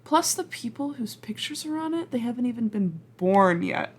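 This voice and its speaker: A woman's voice: a little high, expressive, normal speed, slightly rough. A woman playing a flustered, apologetic time traveler from the future in an ASMR roleplay, speaking hesitantly, stammering and rambling in a self-deprecating way. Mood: sheepish, awkward. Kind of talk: ASMR roleplay acting, intimate and conversational.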